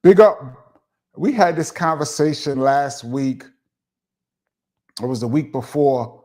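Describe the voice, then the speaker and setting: A middle-aged man from Brooklyn with a New York accent, his voice sitting a bit high for a man. He talks in a laid-back, chatty way, with plenty of ums, as he reads out viewer questions and answers them on a live stream. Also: lively intonation, slow, darkish tone. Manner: laid-back, chatty, laughs and says um often. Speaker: host of a live chat show. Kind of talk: casual live-stream Q&A, reading viewer comments.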